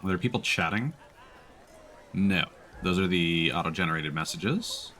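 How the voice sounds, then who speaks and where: A man's voice: sits low, normal speed, sharp tone, slight background noise. A man doing casual live game commentary, musing and thinking aloud as he plays. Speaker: game streamer. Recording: faint background game ambience. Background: game ambience.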